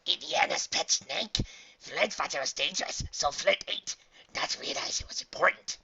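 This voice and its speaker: A storybook dog creature, simple-minded and easily cowed. The voice sits well above a normal man's talk and is sharply bright. It speaks eagerly in broken, third-person phrases on a slightly dull recording. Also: fairly high pitch, moderate pace, gravelly, slightly rough, voice pushed up, exaggerated voice. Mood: frightened, apologetic. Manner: broken third-person speech, cowering and excitable.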